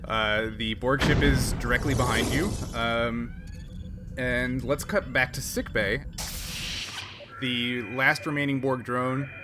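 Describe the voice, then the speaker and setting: A man running a tabletop game as game master, narrating with many pauses and restarts. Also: medium pitch, fairly even intonation, heavy background noise. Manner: hesitant, many ums and restarts.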